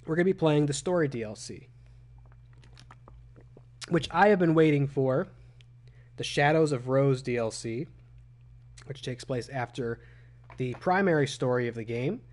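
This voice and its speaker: A young man with a cold, his voice raspy and phlegmy, chatting casually as a gaming streamer from a small room at home. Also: medium pitch. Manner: chatty, self-deprecating. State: hoarse from a cold.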